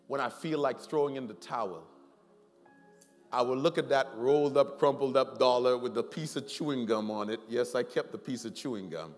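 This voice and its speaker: A middle-aged man preaching a sermon as a pastor, telling personal stories with animated swings between loud pleading and quiet reflection. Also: medium pitch. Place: large church sanctuary, preaching from the stage. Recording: long hall reverb with faint music.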